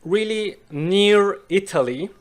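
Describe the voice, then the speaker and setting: Slow-spoken Swiss man, careful English. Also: high voice, lively intonation. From Switzerland. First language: Swiss German. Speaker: guest. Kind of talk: casual interview chat.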